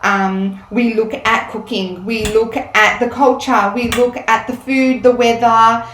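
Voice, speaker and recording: A woman's voice: fairly high pitch, steady tone, slow pace, a bit bright. Chatty woman in a roomy kitchen. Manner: chatty, explains step by step with frequent ums. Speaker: Italian teacher. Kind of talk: casual cooking demonstration. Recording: roomy sound, mic at a distance, faint room noise.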